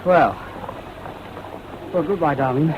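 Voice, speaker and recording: An actor playing a jaunty, flippant young English officer in a 1970s British radio drama. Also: male voice, a little high, slow, background noise. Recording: dull old radio recording, crowd behind.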